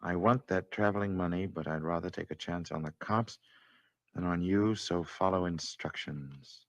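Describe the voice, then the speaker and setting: An actor playing a mocking, quietly sinister killer in 1940s radio thriller style. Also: male voice, low-pitched, normal speed, no room sound. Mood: reading, intent. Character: menacing, mocking killer, smooth middle-aged man.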